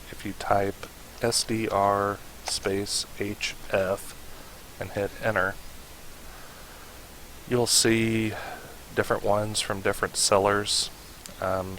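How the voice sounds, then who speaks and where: Slow, monotone man giving a tutorial. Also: deep voice, rough recording. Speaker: tutorial host. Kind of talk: casual how-to narration. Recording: steady hiss behind the voice. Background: hiss.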